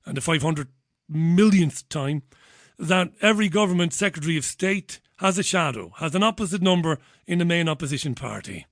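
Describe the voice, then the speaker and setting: A man with a fairly high voice hosts a talk show. He talks casually and sardonically, wandering off into his own opinions. The sound is dry, with very little room to it. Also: a bit bright, no room sound. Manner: digressive, sardonic.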